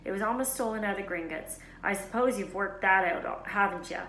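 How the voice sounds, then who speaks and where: A woman's voice: mid-range voice, fairly quick, fairly bright tone, echoey room, slight background noise, natural voice, poor audio. A woman voicing a secretive male gamekeeper with furtive, folksy speech in a children's storybook. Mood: firm, guarded. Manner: furtive, dropped-consonant folksy talk. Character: big, shifty, secretive male gamekeeper, gruffly friendly.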